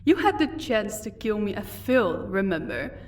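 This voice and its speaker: A woman's voice: medium pitch, big pitch swings, moderate pace, a little mellow, lightly acted. Woman acting a commanding, sardonic vampire, slightly distant. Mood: menacing interrogation. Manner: commanding, dryly sarcastic. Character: proud female vampire captor, sardonic, commanding, fond of her wife. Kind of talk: audio roleplay acting.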